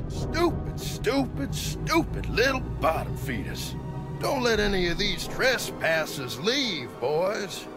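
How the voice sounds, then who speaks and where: A man's voice: high voice, big pitch swings, slow pace, over music, full character voice. A man voicing a pompous, sneering, short-tempered boss in a video game, with a Southern US accent and a folksy, condescending, mock-genteel manner. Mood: contemptuous. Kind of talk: video game cutscene acting.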